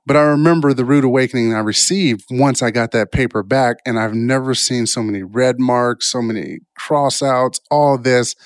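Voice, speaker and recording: A man with an average-pitched voice talks like an informal motivational speaker. He is reflective and tends to ramble, restarting thoughts and tagging points with "right?" The sound is dry, with hardly any room in it. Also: moderate pace, few pauses, no room sound. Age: adult, past college years. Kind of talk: informal self-help talk with personal stories.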